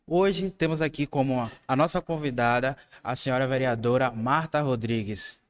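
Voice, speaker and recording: A man's voice: mid-range voice, moderate pace. A man hosting a podcast, introducing a guest in a friendly, informal way. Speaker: podcast host.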